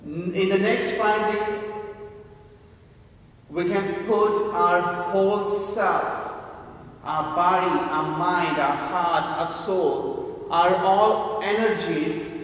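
A man delivers a homily at a very slow, deliberate pace, leaning on repetition and rhetorical questions. He sounds far from the microphone in a large church, with a long, heavy echo around his words. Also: fairly even intonation. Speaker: priest preaching. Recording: echoey church, distant mic, faint rumbling noise.

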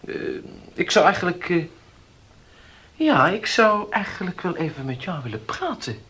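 Halting young gentleman. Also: high-pitched, big pitch swings, breathy edge, exaggerated voice. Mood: hesitant. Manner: hesitant, halting. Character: hesitant young gentleman, Frits. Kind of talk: 1970s radio play acting.

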